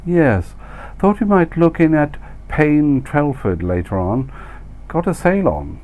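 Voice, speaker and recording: Casting: male reader as chatty expat society lady for audiobook comedy, raised pitch, gushing telephone manner in short clipped phrases. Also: very animated, measured pace, a little mellow. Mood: breezy, chatty.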